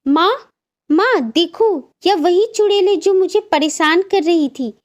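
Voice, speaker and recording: Woman as a very high-pitched young bride-to-be. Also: unhurried. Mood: frightened, insistent. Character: anxious young bride-to-be, haunted and insistent. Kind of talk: Hindi cartoon story acting.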